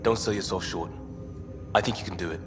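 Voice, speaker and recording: A man's voice: deep voice, fairly quick, natural voice. A young man playing a friendly, encouraging space crewmate in a video game, informal and supportive. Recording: long reverb, music behind.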